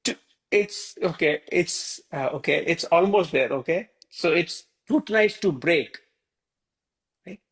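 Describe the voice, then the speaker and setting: A man's voice: on the high side, animated, takes it slowly. A man from India teaching a Hindi class over a video call, rambling and full of fillers like "you know".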